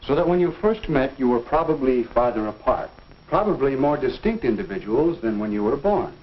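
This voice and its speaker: An actor playing a genial, earnest minister counseling young people in an avuncular, folksy 1950s instructional film style. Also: male voice, medium pitch, very animated. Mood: explaining. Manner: avuncular, measured, folksy lecturing. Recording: dull 1950s film soundtrack.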